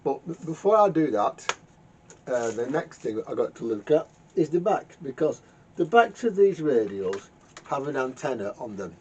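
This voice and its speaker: Halting man, dull noisy recording. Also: medium pitch, sing-song, darkish tone. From United Kingdom. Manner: halting, rambling, repeats words as he explains. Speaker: vintage radio repairer and video host. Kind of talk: casual unscripted workshop demonstration. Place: home garage workshop. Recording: dull lo-fi sound with clear background noise.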